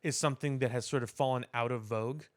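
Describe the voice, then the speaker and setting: A man co-hosting a podcast, talking casually about pop culture in halting, deliberate, explanatory phrases. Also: mid-range voice, steady tone. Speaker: podcast co-host.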